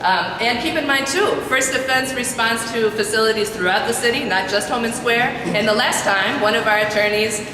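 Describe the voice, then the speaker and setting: A woman's voice: on the high side, normal speed, hardly any pauses. A woman attorney making an earnest, emphatic public statement at a press conference. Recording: very echoey hall, distant mic, noisy.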